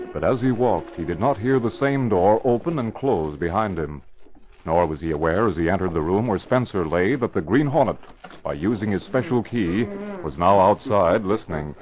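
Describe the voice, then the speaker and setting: A man's voice: low voice, lively intonation, normal speed. A man giving dramatic scripted narration in the manner of 1940s radio crime serials. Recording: muffled old radio recording. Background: music.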